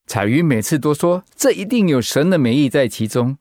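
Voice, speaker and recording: A man's voice: a little high, expressive, fairly quick, a little mellow, no echo, studio quality, lightly acted. A man acting in a radio drama as a humble, anguished pastor and devoted husband, speaking in a heartfelt, sighing way. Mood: humbled, anguished.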